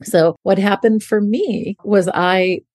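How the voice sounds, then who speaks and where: A woman's voice: medium pitch, slow pace, darkish tone, no room sound, studio quality. A middle-aged woman hosting a podcast, speaking earnestly and encouragingly straight to the listener in a motivational talk. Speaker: podcast host and leadership advocate.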